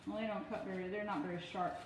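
A woman's voice: mid-range voice, steady tone, fast. A woman in her thirties talking casually through instructions in a patient but firm, step-by-step way. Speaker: parent giving a cooking demonstration. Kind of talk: casual instructional talk to camera and child. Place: home kitchen, indoors. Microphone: phone propped on counter, about arm's length. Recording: very echoey room, faint noise.

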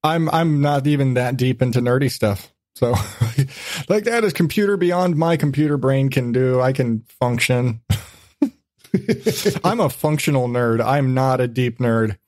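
A man's voice: mid-range voice, animated, unhurried, darkish tone, no echo, clean studio sound. A man hosting a podcast in casual conversation, rambling informally and often rephrasing as he goes. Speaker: podcast host.

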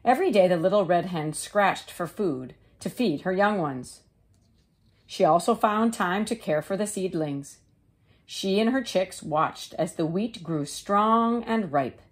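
A woman teacher reading a children's storybook aloud in a measured, expressive way. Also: on the low side. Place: living room couch, indoors.

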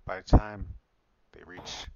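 A man in casual improvised fantasy roleplay, playing a caring, easygoing friend and talking in halting phrases. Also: low-pitched, steady tone, gravelly. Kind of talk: improvised virtual-reality fantasy roleplay chat.

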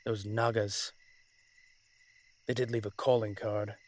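A man playing a commanding, weary dragon-shifter mafia boss in a dramatic roleplay audio, speaking in a measured, authoritative way. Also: fairly low pitch, flat delivery, darkish tone. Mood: grave, commanding.

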